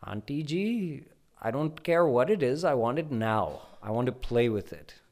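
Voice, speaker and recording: A man's voice: sing-song, a little slow, a little mellow. A man voicing the small boy Krishna, an impatient child who whines and insists. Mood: whiny, demanding. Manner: whining, insistent, high childish pleading.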